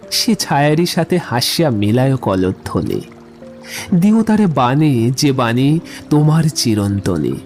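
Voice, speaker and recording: A man's voice: mid-range voice, a little mellow, lightly acted. Man acting a lyrical, brooding poet lover over music. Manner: lyrical, reflective, measured recitation. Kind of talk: literary audio drama with poetry recitation.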